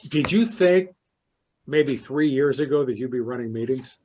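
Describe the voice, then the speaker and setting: Guy interviewing, kinda husky voice, encouraging, warm-ish back and forth. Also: medium pitch, lively intonation, normal speed. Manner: encouraging, affirming. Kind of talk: conversational interview.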